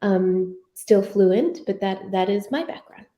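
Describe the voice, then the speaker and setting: Mellow American woman in an echoey room. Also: mid-range voice, lively intonation, takes it slowly. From United States, Michigan. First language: Spanish. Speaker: panelist leading a community board discussion. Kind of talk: informal online panel talk. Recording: roomy, echoing sound.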